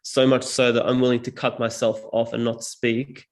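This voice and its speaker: A young man from Serbia, whose first language is Serbian, talks in an earnest, reflective way as an interview guest. His voice has a gravelly fry and stays in a narrow pitch band. He sits at a middle pitch and leans on casual fillers as he thinks aloud. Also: little pitch movement, normal speed. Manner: thoughtful, earnest, frequent "like" fillers. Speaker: guest, public speaking teacher.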